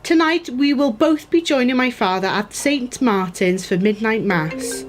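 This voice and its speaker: A woman acting as a feisty young heroine in a radio play. Her high voice leaps around as she speaks with sharp, exclamatory indignation. Faint music plays underneath. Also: expressive, a little slow. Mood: indignant.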